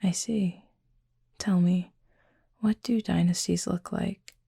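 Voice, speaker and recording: A woman voicing a gentle, formal cupid roommate in an intimate roleplay audio, soft, breathy and husky, speaking in a measured, earnest way. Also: fairly low pitch, steady tone, no echo, studio quality, heavily acted. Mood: curious, reserved.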